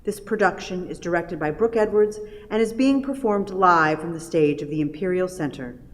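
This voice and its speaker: A woman's voice: lowish voice, moderate pace. A woman giving a formal, scripted announcement to introduce a play from a theatre stage. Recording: long reverb of a theatre.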